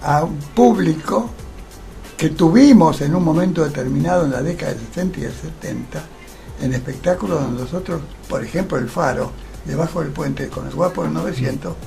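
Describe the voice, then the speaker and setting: Older argentine guy on a radio interview, gravelly voice kinda high, emphatic, music underneath. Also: brisk, mellow. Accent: Rioplatense Argentine Spanish. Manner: emphatic, poses rhetorical questions. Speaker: interview guest, theatre actor. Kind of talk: radio interview, opinionated conversation.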